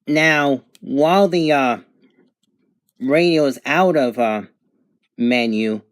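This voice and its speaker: A man presents a hands-on product review in a mid-pitched voice. His delivery is halting and matter-of-fact, like someone explaining features as he finds them. The sound is dry, with little room to it. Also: dry recording. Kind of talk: unscripted product demonstration.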